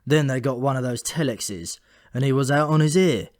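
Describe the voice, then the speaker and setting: A man's voice: mid-range voice, normal speed, darkish tone, no room sound, clean studio sound, close to an everyday voice. A man voicing a short-tempered, world-weary male raven with a blunt, irritable, colloquial manner, in a comic fantasy audiobook. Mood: fed up.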